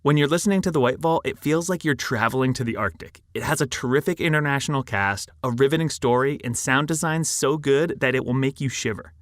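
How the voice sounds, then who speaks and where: A man's voice: medium pitch, a little fast, hardly any pauses, a bit bright, no room sound, studio quality. A man reading a scripted podcast promo as an announcer, with an enthusiastic delivery.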